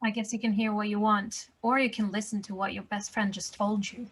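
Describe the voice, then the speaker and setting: A woman's voice: mid-range voice, level tone, a little fast, fairly bright tone, breathy edge. A woman playing a tough, no-nonsense nurse in a table read. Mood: matter-of-fact.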